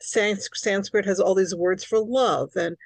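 Woman in her 60s, Hungarian first language, New York raised; animated podcast guest, dry sound. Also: mid-range voice, measured pace, vocal fry, dry recording. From New York City, Queens. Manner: animated, repeats words when starting thoughts. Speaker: guest author. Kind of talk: conversational podcast interview.

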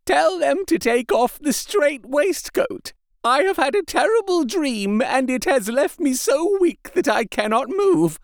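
A man's voice: very high-pitched, sing-song, measured pace, creaky, no echo, studio quality, voice pushed up, exaggerated voice. A man voicing a weak, dying male asylum patient in an audiobook, breathless, strained and pleading. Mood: dazed. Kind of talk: audiobook character reading.

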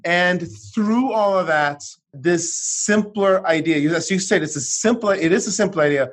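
Middle-aged man from Sweden, guest author, lively voice on the higher side, restarts a lot. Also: normal speed. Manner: animated storytelling, frequent restarts. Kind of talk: conversational interview.

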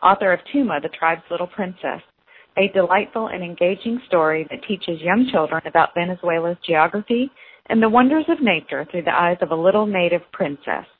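A woman's voice: lowish voice, lively intonation, fairly quick, breathy edge. A woman hosting a show, reading a prepared introduction and asking friendly questions over the phone. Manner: reads a scripted introduction, then friendly questions. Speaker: host. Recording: tinny phone line.

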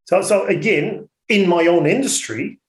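A man's voice: sits high, a little slow. Blunt Dutch man in a roomy space. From Netherlands. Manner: blunt, outspoken. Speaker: interview guest, orchard business owner. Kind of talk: radio interview.

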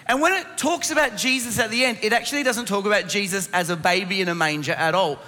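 A man's voice: sits high, fast talker, bright, forceful tone. A man preaching a sermon to a congregation in an instructive way, asking rhetorical questions, his voice strained by laryngitis. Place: church hall. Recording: reverberant hall sound.

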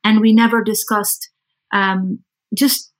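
A woman's voice: medium pitch, little pitch movement, takes it slowly. A woman from Lebanon speaking as an architect guest in an interview, thoughtful and conversational, feeling out her phrasing as she goes.

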